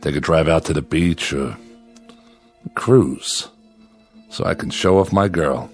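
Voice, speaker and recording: A man's voice: extremely low pitch, slow, faint music behind. An actor playing a lonely man devoted to his android companion, by turns yearning and defensive, in dramatic radio style. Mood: tender, eager. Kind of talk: dramatic radio acting with confessional narration.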